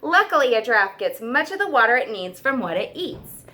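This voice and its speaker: A woman teacher reading a nonfiction book aloud to children, stressing key words and pausing for simple questions and explanations. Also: on the high side, big pitch swings, sharp tone.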